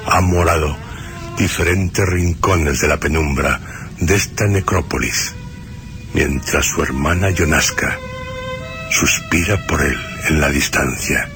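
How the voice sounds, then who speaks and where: A man with an exceptionally deep voice narrates gothic horror. His delivery is very slow, solemn and literary, with suspenseful pauses. Music plays clearly beneath him. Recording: music under the voice.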